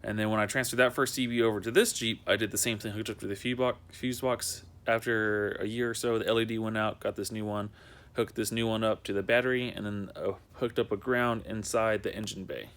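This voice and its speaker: A man's voice: on the low side, fairly even intonation, fairly quick, fairly bright tone. A young man talking in a casual, unscripted how-to vlog, rambling and repeating himself in a conversational way. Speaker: amateur video maker showing a car project.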